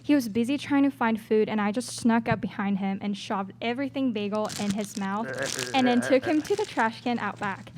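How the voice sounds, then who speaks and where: Woman acting as scheming young student, secretly murderous, higher voice, amateur radio drama. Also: normal speed, crowd noise, lightly acted. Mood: secretive, smug. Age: young adult. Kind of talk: amateur scripted radio play.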